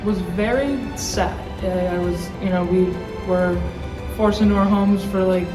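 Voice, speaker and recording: A teenage woman, a high school senior, speaking haltingly in an interview and searching for her words. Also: steady tone. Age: late teens. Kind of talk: documentary interview. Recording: echoey room, mic far off. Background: background music.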